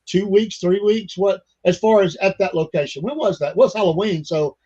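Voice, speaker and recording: A man's voice: sits high, moderate pace, warm tone, breathy edge, lo-fi sound. An older man hosting a podcast, chatting in a folksy, rambling way full of fillers. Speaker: podcast host. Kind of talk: casual interview chat.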